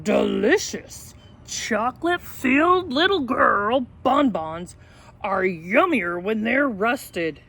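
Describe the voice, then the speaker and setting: A woman voicing big, hungry, gloating dinosaurs from a storybook, loud and booming. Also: animated, slow, creaky, slightly rough, heavily acted.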